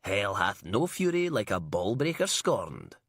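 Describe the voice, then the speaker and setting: A man's voice: fairly low pitch, sing-song, unhurried, a bit bright, no echo, clean studio sound, full character voice. A man reading an audiobook and voicing a crude, sarcastic female police inspector. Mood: mocking.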